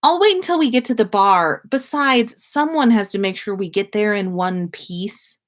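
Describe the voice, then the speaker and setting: A woman's voice: mid-range voice, animated, normal speed, close to an everyday voice. A woman voicing a sober, sensible young man in a story, emphatic and a little grumbling. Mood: exasperated, reluctant.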